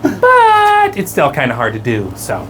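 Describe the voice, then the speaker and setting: Young man with a low voice, self-deprecating. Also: wildly swooping pitch, measured pace, a bit bright, slight background noise. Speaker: puppeteer and actor. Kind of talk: casual interview.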